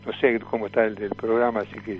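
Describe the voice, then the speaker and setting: A man's voice: fairly low pitch, level tone, fast talker, soft background music. A man chatting casually as a guest over a narrow phone line.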